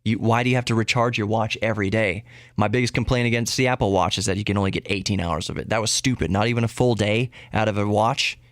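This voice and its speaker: A man's voice: on the low side, steady tone, fast, fairly bright tone, no echo, clean studio sound. A man hosting a podcast solo, talking casually in a rambling way, often interrupting himself and repeating words for emphasis. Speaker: podcast host. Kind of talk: casual solo tech and geek news talk.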